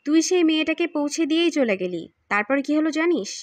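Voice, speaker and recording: A woman voicing a calm, teasing older adventurer uncle in an audiobook, patient and bantering. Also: high-pitched, brisk, no room sound, pitched above the performer's own voice. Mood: instructive. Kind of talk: audiobook character acting.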